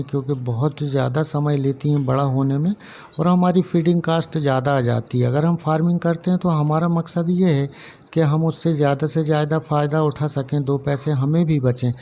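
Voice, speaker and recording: A man's voice: fairly high pitch, dark tone, slight background noise. A man who hosts a poultry farming channel, speaking casually and earnestly to his viewers, explaining step by step and listing items one after another. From central India. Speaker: poultry farmer and channel host. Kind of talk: casual instructional talk to camera.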